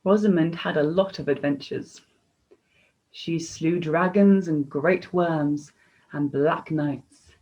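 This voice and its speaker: A woman's voice: low-pitched, takes it slowly, a little mellow. A woman storyteller reading a children's story expressively, in a slightly dull, lo-fi recording.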